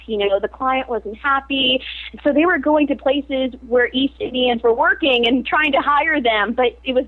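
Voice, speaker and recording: A woman actress in a casual interview, talking animatedly and laughing often. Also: high voice. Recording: tinny phone-like line.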